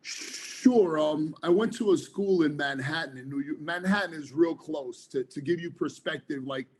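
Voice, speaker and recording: A man, speaking a touch higher than most men. He talks earnestly and at length, with plenty of fillers. He sounds like a guest in a casual podcast chat. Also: moderate pace, darkish tone, husky, poor audio. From New Jersey, United States. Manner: earnest, rambling, frequent fillers. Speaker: author guest, former police officer.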